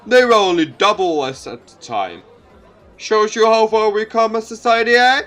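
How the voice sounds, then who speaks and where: A man's voice: high voice, expressive, normal speed, a bit bright, a faint crowd, pitched above the performer's own voice. Man doing a folksy mayor giving a lifted-voice speech. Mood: jovial. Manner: oratorical, folksy, encouraging. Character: popular male mayor, jovial, earnest public speaker. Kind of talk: visual novel speech read-aloud.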